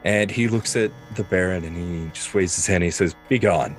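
A man's voice: deep voice, moderate pace, faint music behind. A man narrating as game master, improvising the scenes of a tabletop roleplaying game.

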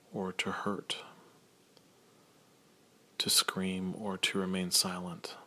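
A man offering a prayer as a caller, solemn and deliberate in his phrasing. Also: deep voice, pushed and bright. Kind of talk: measured prayer recitation.

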